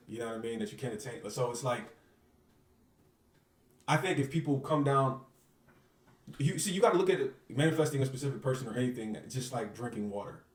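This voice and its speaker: A man's voice: medium pitch, distant mic. An earnest man talks in a casual interview, circling back over his points and checking in with the listener. He sits back from the microphone, and the room throws an echo around his voice. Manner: earnest, repetitive, uses filler phrases. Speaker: manifestation coach, guest.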